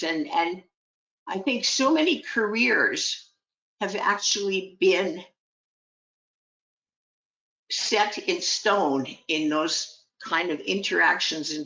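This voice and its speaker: Brief: woman, low gravelly voice, proud and heartfelt, muffled call audio. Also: lively intonation, slow pace, pauses often, sharp tone. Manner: proud, heartfelt, with some restarts. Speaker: guest, gemology teacher. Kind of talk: conversational interview.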